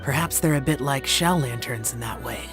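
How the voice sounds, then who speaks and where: A man's voice: medium pitch, moderate pace, music in the background, close to an everyday voice. A man voicing a curt, aloof warrior. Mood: reserved. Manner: curt, reserved. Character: aloof, terse young warrior guardian. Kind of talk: game voice acting.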